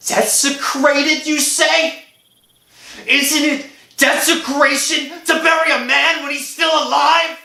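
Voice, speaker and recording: A man's voice: very high-pitched, steady tone, takes it slowly, vocal fry, sharp tone, reverberant, pitched above the performer's own voice, exaggerated voice. A man playing a trembling, guilt-ridden young man pleading in an agitated way in a horror radio drama. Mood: anguished, indignant. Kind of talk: old-time horror radio drama acting.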